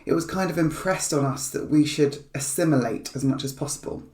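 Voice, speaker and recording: A woman's voice: low voice, fairly quick, mellow, reverberant. A woman, born in Nigeria and raised in England, giving an earnest, thoughtful personal reflection with small restarts, recorded remotely indoors. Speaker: charity fundraising head giving a reflection.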